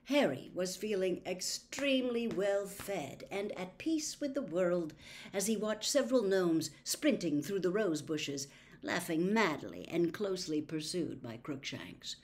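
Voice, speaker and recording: A woman reading a story aloud in an expressive narrating style. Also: on the low side, unhurried. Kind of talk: expressive audiobook-style narration with character voices.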